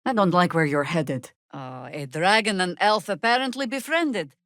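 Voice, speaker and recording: A woman playing a weathered, stern and wry old druid warrior in a video game, with a measured, dry, commanding delivery. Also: lowish voice, a bit bright, no echo. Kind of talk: dramatic video game voice acting.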